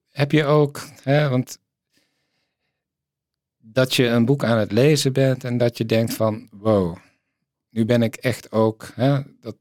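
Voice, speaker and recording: A man's voice: medium pitch, a little mellow, no room sound, studio quality. A man hosting a casual book interview, putting his questions in a tentative, rambling way. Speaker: interviewer, host.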